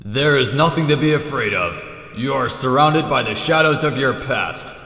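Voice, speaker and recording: A man playing a gruff, stern echidna ghost in an audio drama, speaking in a curt, solemn, dryly sardonic manner. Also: mid-range voice, normal speed, bright, forceful tone. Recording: echoing ghostly reverb, music behind.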